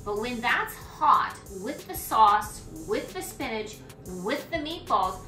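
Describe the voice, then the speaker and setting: A woman's voice: on the high side, expressive, slow, pushed and bright. A middle-aged woman chatting casually as she cooks in her home kitchen, thinking aloud while she works. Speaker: cooking vlogger. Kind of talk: casual cooking demonstration. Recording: echoey kitchen with background music. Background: music.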